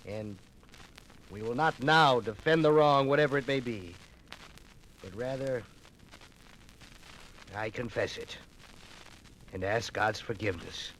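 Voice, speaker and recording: A humble old-time frontier clergyman speaks very slowly and haltingly, full of sorrow and repentance. It sounds like a 1950s radio drama, with faint noise on the recording. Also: mid-range voice, fairly bright tone, rough recording. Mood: remorseful, sad. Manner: slow, halting, humble confession.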